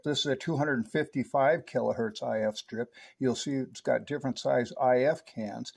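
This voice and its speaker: A man with a medium-pitched voice presents a hands-on electronics review. He explains things in a methodical, technical way, moving from one detail to the next like someone demonstrating at a bench. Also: darkish tone. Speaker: presenter of radio equipment reviews.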